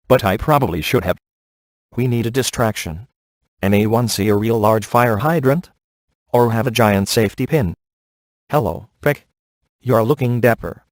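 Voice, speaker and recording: An actor playing a sardonic, laid-back male ghost hunter in a video game, delivering wry scripted quips in a casual deadpan manner. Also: mid-range voice, no echo, natural voice. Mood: sarcastic. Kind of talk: scripted game dialogue, dry wisecracks.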